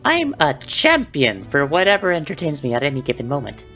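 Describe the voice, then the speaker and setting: A performer playing a sly, whimsical male beggar in an animated film, with a theatrical, grandiloquent delivery. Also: medium pitch, fairly quick, soft background music. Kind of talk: animated film character acting.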